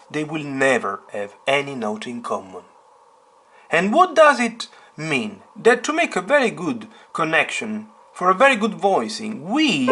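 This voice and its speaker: A man teaches piano in a tutorial style, with a slightly high voice that swings widely in pitch. He explains each step deliberately and emphatically, asking the learner questions as he goes. Piano playing sounds prominently alongside his speech. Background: piano chords.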